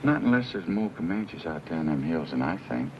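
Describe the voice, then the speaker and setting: Radio western: folksy, plain-spoken American frontiersman, deep register, kindly, dull lo-fi sound. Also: sing-song, brisk, a little hiss or hum. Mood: consoling, wry. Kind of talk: western radio drama acting. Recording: muffled lo-fi radio recording with music.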